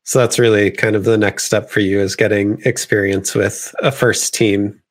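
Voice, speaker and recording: A man hosts an interview and asks long, casual questions that are full of fillers. His voice sits slightly low, and his tone stays relaxed and conversational. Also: moderate pace.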